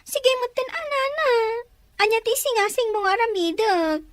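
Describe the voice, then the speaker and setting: A woman's voice: extremely high pitch, expressive, normal speed, a bit bright, no room sound, clean studio sound. A woman playing a worried young daughter in a serial family drama. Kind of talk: Ilocano radio-style serial drama acting.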